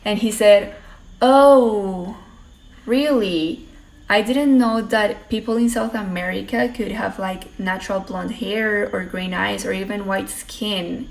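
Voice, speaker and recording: A woman from Colombia telling an animated story with a tone of disbelief. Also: medium pitch, takes it slowly, gravelly, rough recording. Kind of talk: casual anecdote.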